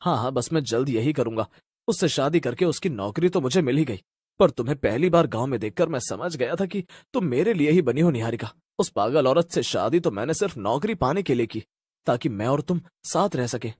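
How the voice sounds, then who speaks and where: A man voicing a deceitful, dismissive young husband in a cartoon story, explaining himself in smooth talk. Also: medium pitch, expressive, fairly quick, a little mellow, no room sound. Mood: conniving. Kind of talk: animated story dialogue.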